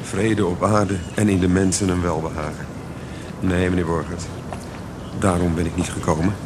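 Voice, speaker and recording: Man playing a low-voiced, defiant young avenger. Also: fairly even intonation, normal speed, background noise, close to an everyday voice. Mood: probing, defiant. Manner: polite but menacing, probing. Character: armed, defiant young man seeking a reckoning. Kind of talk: radio play acting.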